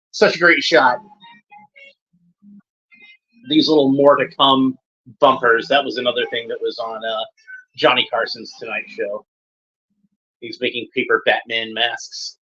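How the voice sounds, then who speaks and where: A man's voice: medium pitch, bright, forceful tone, highs cut off. A man giving casual running commentary while watching an episode, chatty and fond, pointing out details as they come up.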